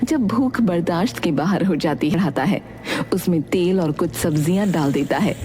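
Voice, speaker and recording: Woman narrating a story, expressive intonation. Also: lowish voice, normal speed, a little hiss or hum. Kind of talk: scripted cartoon story narration.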